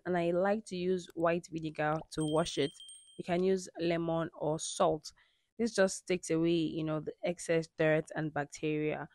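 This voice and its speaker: A woman's voice: fairly low pitch, a little mellow, no echo. A woman giving a friendly, instructive cooking voice-over that speaks directly to viewers. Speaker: cooking vlogger. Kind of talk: explanatory voice-over.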